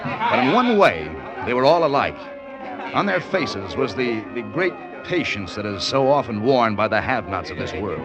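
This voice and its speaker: An actor playing a wry, world-weary American newspaper correspondent in a 1940s-style radio drama, with a casual hard-boiled manner. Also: male voice, medium pitch, sing-song, normal speed, crowd noise, rough recording. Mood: sardonic narrating. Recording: old radio recording, music behind.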